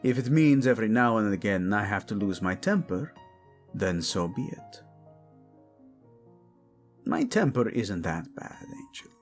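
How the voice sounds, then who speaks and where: A man's voice: lowish voice, sing-song, soft background music. A man playing a devoted, hot-tempered yet tender king, speaking intimately and teasingly in a romantic audio roleplay. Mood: wry, yielding. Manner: soft, intimate, teasing, halting.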